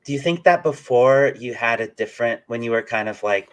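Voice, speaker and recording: The man running a relaxed online livestream interview, easing guests into long, loosely worded questions. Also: medium pitch, fairly quick, clean tone. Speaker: interviewer, channel host. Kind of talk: casual live interview.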